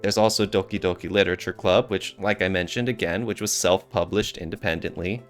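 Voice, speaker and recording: Low-voiced man co-hosting a podcast. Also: steady tone, a little fast, soft background music. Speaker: podcast co-host. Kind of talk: casual podcast discussion.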